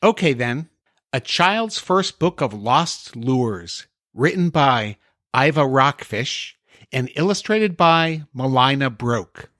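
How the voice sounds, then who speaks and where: Male podcast host, mid-pitched, close dry recording, scripted bedtime-story delivery with a comic bent. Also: lively intonation, slow, a bit bright, dry recording. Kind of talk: scripted comedy dialogue and storybook reading.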